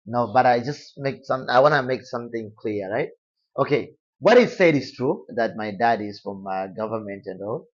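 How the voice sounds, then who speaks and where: A young man from Tanzania with a Tanzanian accent, speaking softly and quietly as a guest in a casual interview. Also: mid-range voice, expressive, moderate pace, muffled recording. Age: young adult. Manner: soft-spoken, quiet.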